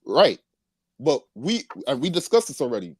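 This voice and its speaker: A man's voice: mid-range voice, big pitch swings, moderate pace, a little mellow, breathy edge, dry recording, clean studio sound. A man hosting a podcast, steering a casual political chat and interjecting with restarts. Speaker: podcast host.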